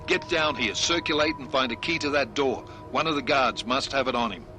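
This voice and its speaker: A man playing a brisk, professional squad leader in a video game, giving orders with authority. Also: medium pitch, bright, forceful tone, faint music behind, lightly acted. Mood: urgent, commanding. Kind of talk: video game cutscene acting.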